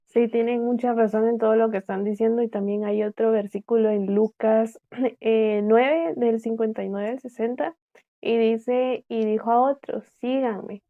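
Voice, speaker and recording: A woman's voice: on the high side, steady tone, normal speed. Young Guatemalan woman host, tinny phone-like sound. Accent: Guatemalan Spanish. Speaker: podcast host.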